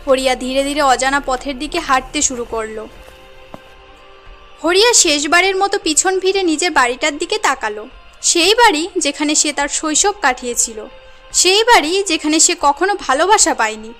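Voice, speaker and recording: A woman's voice: high-pitched, pushed and bright. A woman giving scripted narration for a cartoon story as its narrator.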